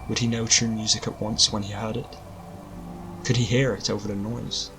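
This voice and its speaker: A man voicing a mysterious, philosophical stranger in an audiobook, musing in measured, cryptic phrases. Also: fairly low pitch, little pitch movement, music in the background, close to an everyday voice. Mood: brooding, musing. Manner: measured, musing, speaking in riddles. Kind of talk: audiobook character voice.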